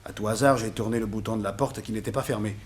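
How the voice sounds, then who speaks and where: Fussy, pompous hotel keeper, played by an actor. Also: male voice, lowish voice, fairly even intonation, fast talker, natural voice. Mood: grave recounting. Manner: theatrical, pompous. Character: fussy, pompous male hotel keeper guarding his reputation. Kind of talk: French radio detective drama acting.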